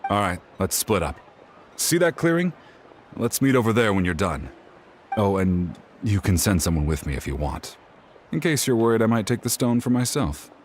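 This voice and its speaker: Low-voiced, world-weary game tribesman. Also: big pitch swings, moderate pace, a little hiss or hum. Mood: resigned. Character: defeated, intense male tribesman crafting a spirit net. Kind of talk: video game voice acting.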